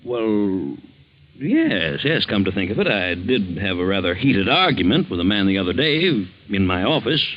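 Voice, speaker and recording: Muffled vintage radio sound, uneasy businessman, lowish pitch, halting, stubborn, guarded, 1940s drama delivery. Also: big pitch swings, slight background noise. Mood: hesitant, guarded. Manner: hesitant, stammering, guarded. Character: anxious, stubborn wealthy businessman fearing for his life. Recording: dull, band-limited vintage radio recording.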